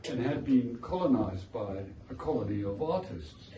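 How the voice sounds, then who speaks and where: A man's voice: mid-range voice, expressive, normal speed. Wry South African man in an echoey gallery. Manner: anecdotal, digressive, wry. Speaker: author giving a launch talk. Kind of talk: informal speech to a crowd. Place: art gallery, indoors. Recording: echoey gallery, distant, noisy.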